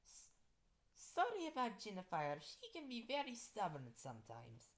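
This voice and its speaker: A woman's voice: high-pitched, very animated, unhurried, pitched above the performer's own voice. A woman voicing a polite, friendly skeleton girl, a foreign exchange student, in an amateur story reading. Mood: apologetic.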